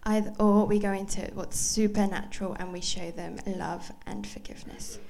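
A woman interpreting a sermon phrase by phrase, with fillers and quick self-corrections. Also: medium pitch, steady tone, gravelly, dark tone, a little hiss or hum. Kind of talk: live consecutive interpreting of a sermon.

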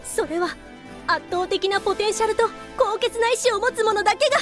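A proud young anime sorceress, her voice very high and girlish. She speaks in dramatic, awestruck exclamations, with faint music underneath. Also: brisk. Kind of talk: anime fantasy audio drama acting.

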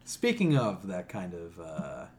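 Man, podcast host, highly animated delivery, blunt, profane, emphatic, casual opinionated chat. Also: slow pace, slight background noise.

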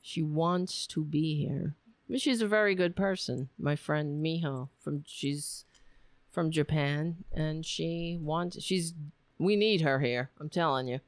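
A woman's voice: deep voice, slow, no room sound. A woman hosting her own podcast, tired and losing her voice, rambling casually through her sign-off. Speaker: podcast host. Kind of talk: casual solo sign-off chat.

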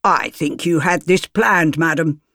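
A woman voicing a stern, formal older gentleman who once served as a magistrate. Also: low-pitched, a little slow, no room sound, studio quality.

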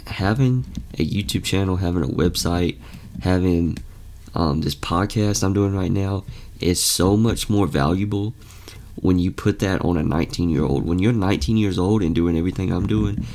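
A man's voice: sits low, normal speed, a little mellow. A young man in his late teens hosting a podcast, talking earnestly and casually with lots of fillers and restarts. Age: young adult. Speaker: podcast host. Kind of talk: casual motivational podcast talk.

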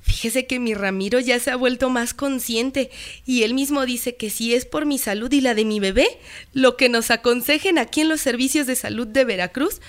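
A woman's voice: a little high, normal speed, natural voice. An actress with a Mexican accent playing an eager, proud young village mother, colloquial and deferential, in a radio drama.

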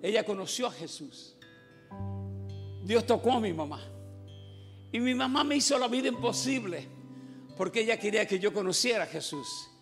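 Give me a middle-aged preacher with a gravelly, high voice. He tells his testimony in a joking storyteller's way and mixes in English. A guitar plays behind him. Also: animated, moderate pace, long pauses. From Puerto Rico. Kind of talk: comic testimony sermon. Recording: guitar music playing behind.

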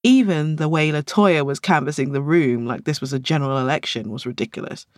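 A woman's voice: sits low, brisk, a little mellow, dry recording, clean studio sound. A woman reading scripted fiction as an audiobook narrator.

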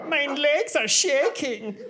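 A woman's voice: high-pitched, lively intonation, slow, crowd noise. A woman playing a squabbling, possessive young brother in improvised fairy-tale comedy, playful and mock-whiny. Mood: excitable. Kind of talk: improvised comedy storytelling acting.

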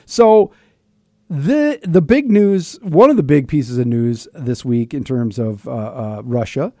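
A male radio host with a mellow, relaxed voice, recorded close and dry. He talks casually about politics in a chummy, wry manner, often halting as he feels his way through a question. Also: mid-range voice, dry recording. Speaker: radio host interviewing a guest. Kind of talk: casual political talk interview.

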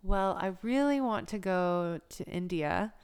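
A woman's voice: medium pitch, lively intonation, a little slow, darkish tone, smooth. An American woman of about thirty talking casually and reflectively as an interview guest, in an informal way. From United States. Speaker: yoga instructor, interview guest.

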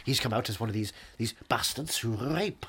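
A man's voice: mid-range voice, normal speed, pushed and bright, a little hiss or hum. A man improvising a rambling, mock-pompous persona who keeps calling everything wonderful. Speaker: comedic improviser in character.

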